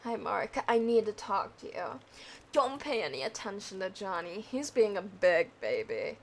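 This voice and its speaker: A woman reading a young woman caught between her partner and her lover, in an amateur script read-through. Her voice sits at an everyday middle pitch, and she delivers the lines plainly and flatly, without much color. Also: moderate pace, a little hiss or hum. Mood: sweet. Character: young woman torn between two men.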